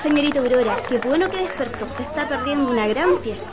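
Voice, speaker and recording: A woman's voice: high-pitched, animated, fast talker, a loud crowd, very noisy. A woman playing a deferential, fussy and protective housemaid in a radio play, with a Chilean accent. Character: fussy, protective female housemaid of a country estate.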